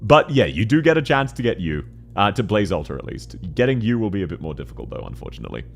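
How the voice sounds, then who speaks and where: A young man with a deep voice streaming a game and chatting with viewers, excitable and slangy, laughing often and quick to correct himself. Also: vocal fry, soft background music. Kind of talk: casual live-stream chat, answering viewer questions.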